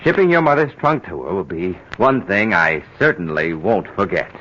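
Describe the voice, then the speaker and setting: An actor playing a nervous, resentful husband in a 1940s radio drama. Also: male voice, low-pitched, big pitch swings, normal speed. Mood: grim. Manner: nervous, exasperated. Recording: muffled hissy old radio broadcast.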